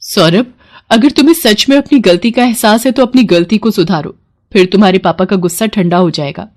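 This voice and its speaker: A woman's voice: mid-range voice, a little fast, darkish tone, close to an everyday voice. A woman voicing a caring mother of a schoolboy in a cartoon story. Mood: advising.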